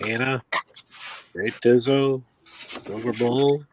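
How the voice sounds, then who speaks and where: A man co-hosting a live show, bantering and gently teasing the host. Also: medium pitch, steady tone, takes it slowly, very noisy. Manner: teasing, dry. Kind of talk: casual banter.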